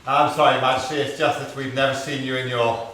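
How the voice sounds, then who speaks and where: A man puts on a chatty servant dame from a stage pantomime, coy and theatrical. The sound is very echoey and distant, with clear noise behind it. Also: mid-range voice, little pitch movement, brisk, fairly bright tone, close to an everyday voice. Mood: flustered, deferential. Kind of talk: comic stage patter.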